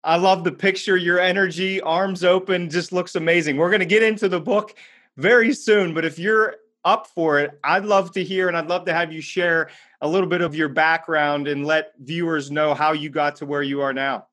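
A man's voice: a little high, steady tone, normal speed, a bit bright. A man hosting a podcast, introducing his guest in an upbeat, effusive way. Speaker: podcast host.

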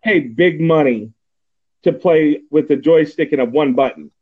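A man's voice: a little high, moderate pace, breathy edge. A man in his forties from Texas, hosting a casual podcast chat and rambling with many fillers and restarts. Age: 40s. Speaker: podcast host. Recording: tinny phone-like line.

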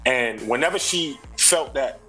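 Laid-back New York rapper, reflective talk. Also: male voice, medium pitch, unhurried, sharp tone, faint music behind, rough recording. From Brooklyn, New York. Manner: laid-back, reflective, slang-heavy, many fillers. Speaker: rapper and singer, guest. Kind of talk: casual interview chat.

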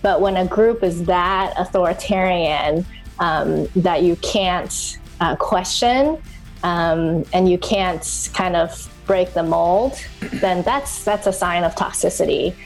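A middle-aged woman from Taiwan, whose first language is Chinese, speaking as an interview guest in a reflective, searching way with many pauses and restarts. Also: lowish voice, soft background music, rough recording. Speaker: guest, author and podcaster. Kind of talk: conversational interview.

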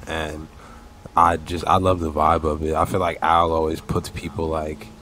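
Deep, monotone male co-host, easygoing music chat with faint noise behind him. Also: moderate pace. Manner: easygoing, hesitant with "um". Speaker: podcast co-host. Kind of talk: casual music podcast chat.

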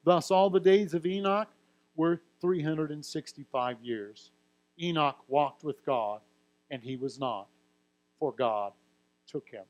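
Higher-voiced male preacher teaching scripture. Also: slow pace, poor audio. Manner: reads genealogy aloud, then explains with light humor. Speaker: pastor. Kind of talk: sermon teaching through scripture.

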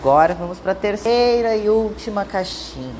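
A woman with a Brazilian accent leading a guided meditation in a calm, soothing, instructing manner with drawn-out phrases. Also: medium pitch, slight background noise.

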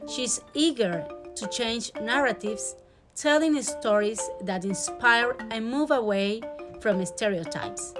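A woman host reading a scripted podcast introduction in a measured, clear way. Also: a little high. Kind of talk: scripted narration. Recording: music playing behind the voice.

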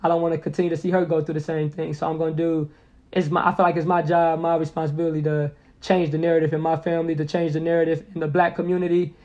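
A young man in his twenties, talking quickly and earnestly with a high, lively voice. He keeps circling back to repeat his point and leans on slang. The sound is a little dull and lo-fi. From Indianapolis. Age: 20s. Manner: earnest, motivational, repeats phrases with lots of slang. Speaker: podcast guest. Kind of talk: casual podcast conversation.

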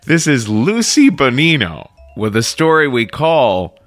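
Guy introducing a podcast episode, swingy pitch, soft music underneath. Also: sing-song, a little slow. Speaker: podcast host. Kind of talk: show introduction.

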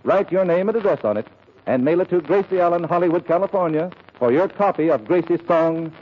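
A man's voice: a little high, expressive, brisk. A man announcing in scripted 1940s radio advertising style, with polished, emphatic salesmanship. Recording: dull, hissy vintage radio broadcast.

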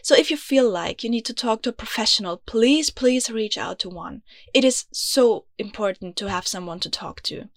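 A young woman from Austria with an Austrian accent, a native German speaker, hosting her own podcast in an earnest, confiding way and sometimes dropping into soft, low tones. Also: moderate pace, dry recording, studio quality. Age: young adult. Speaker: podcast host. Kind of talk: scripted podcast monologue. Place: small closet.

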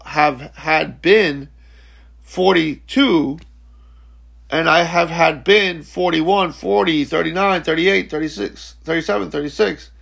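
A man in his forties giving a slow, reflective spiritual teaching talk, halting and repeating words, often checking in with "okay?". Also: sits high, gravelly, fairly bright tone. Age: 40s. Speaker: spiritual speaker.

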